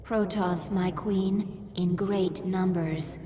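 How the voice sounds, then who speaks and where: A woman playing an obedient alien servant in a game, giving formal, reverent, measured reports to her queen. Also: on the low side, steady tone, mellow, a little hiss or hum. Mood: dutiful.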